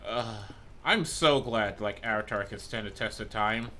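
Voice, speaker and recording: A man's voice: lowish voice, unhurried, pushed and bright, a little hiss or hum. A man streaming a game in a loose, rambling chat who swears freely, and who is losing his voice. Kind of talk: casual gameplay chat.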